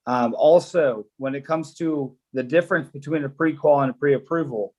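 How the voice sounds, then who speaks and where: A man's voice: on the high side, normal speed, darkish tone. A man whose first language is Polish, a loan officer explaining mortgage steps in a loose, casual way with lots of fillers on a live stream.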